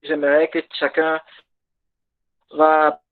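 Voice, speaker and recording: A man whose first language is English, giving a workshop talk in halting French over a video call and pausing to search for words. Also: a little high, completely flat delivery. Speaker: conference speaker. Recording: tinny phone-like call audio.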